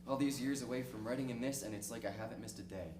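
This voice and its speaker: A man acting a lonely, cynical middle-aged diarist in a dramatic stage reading, speaking reflectively and ruefully with halting pauses. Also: medium pitch, lightly acted. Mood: self-mocking, resigned. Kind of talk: dramatic monologue in a one-act play reading. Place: small theater stage, recorded from the audience distance. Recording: distant, echoey stage recording with noisy hum.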